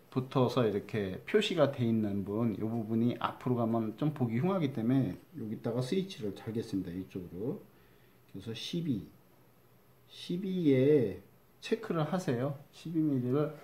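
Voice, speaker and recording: Chatty Korean man with a Chungcheong accent. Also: medium pitch. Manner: chatty, repeats key words, drops to a mutter while measuring. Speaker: crafts teacher. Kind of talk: step-by-step craft demonstration.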